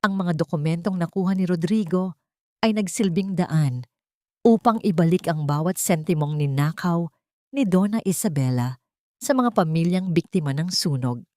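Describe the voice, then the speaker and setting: A woman reading a scripted dramatic story as an audiobook narrator, in a measured, solemn storytelling manner. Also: on the low side, a little mellow, no echo, clean studio sound.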